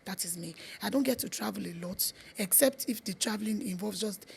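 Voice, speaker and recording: A woman's voice: mid-range voice, fairly quick, creaky, slight background noise. A middle-aged Nigerian woman with a Yoruba accent, chatting earnestly as an interview guest, repeating her points for emphasis. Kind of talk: casual interview chat.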